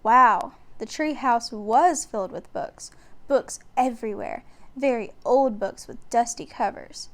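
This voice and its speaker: A woman reading a children's bedtime story aloud in a deliberate, expressive way. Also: a little high. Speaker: storybook reader.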